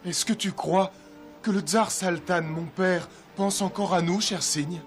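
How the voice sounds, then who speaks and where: A man's voice: high-pitched, unhurried. A man playing a wounded, earnest young prince in a fairy tale cartoon, with formal, courteous phrasing. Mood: hurt. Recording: music behind the voice.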